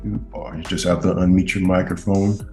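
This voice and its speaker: A man's voice: sits low, level tone, brisk, vocal fry, dark tone, reverberant, soft background music. A man hosting a casual group question-and-answer call. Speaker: host taking members' questions.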